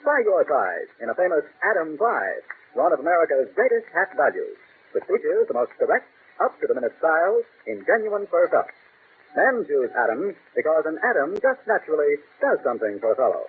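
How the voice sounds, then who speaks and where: Male announcer, salesy 1930s radio reads, a bit high; thin lo-fi broadcast. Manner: brisk, salesy. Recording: lo-fi old radio broadcast, faint hiss.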